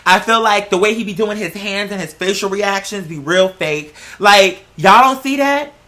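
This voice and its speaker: An online creator with a bright, forceful, mid-pitched voice ranting casually to viewers. The delivery is sassy and emphatic, full of slang and swearing. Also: moderate pace. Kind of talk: casual opinionated rant to viewers.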